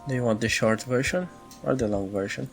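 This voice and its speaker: A man playing a reflective, wistful elf groom-to-be who confides in measured visual novel dialogue. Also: medium pitch, gravelly, lightly acted. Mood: earnest. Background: background music.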